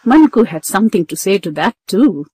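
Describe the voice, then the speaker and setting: Female narrator for a children's story: medium pitch, scripted read, close and dry. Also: very animated, brisk, darkish tone, husky, no echo.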